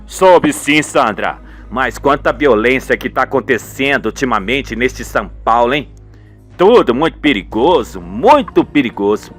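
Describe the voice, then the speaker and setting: A man's voice: medium pitch, lively intonation, unhurried, a bit bright, faint music behind, pitched below the performer's own voice. A man playing a cocky, cold-blooded hitman in a radio soap, with a smug, slangy manner. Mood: feigned concern.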